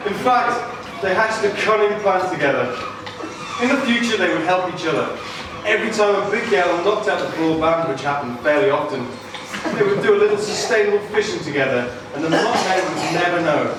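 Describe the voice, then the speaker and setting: A man's voice: high voice, lively intonation, brisk. A man narrating a puppet show as a live storyteller. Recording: distant, very echoey, noisy live hall.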